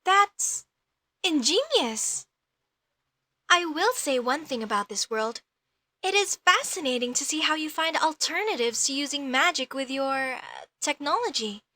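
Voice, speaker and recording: A woman playing a curious, outspoken young female elf mage in a comedic audio roleplay, questioning things in a halting, slightly haughty way. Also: high voice, lively intonation, sharp tone, no echo, heavily acted. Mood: intrigued.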